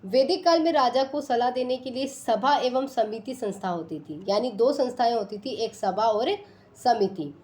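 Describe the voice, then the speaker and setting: Higher-pitched woman teaching. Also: moderate pace, a little mellow. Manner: instructional, reads out items one by one. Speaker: teacher, exam quiz presenter. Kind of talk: question-and-answer exam lesson, reading options then giving answers.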